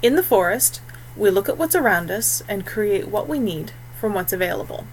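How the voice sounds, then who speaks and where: A woman voicing a calm, patient young forest-born woman in a storybook, speaking with quiet assurance. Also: mid-range voice, normal speed, slight background noise, lightly acted, low-quality recording. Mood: patient, practical.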